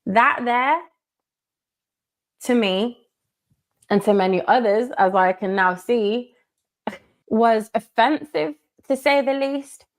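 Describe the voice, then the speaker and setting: A woman from the United Kingdom giving commentary in a measured, emphatic way, repeating her key phrases. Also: a little high. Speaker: commentator in a played video. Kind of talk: monologue commentary.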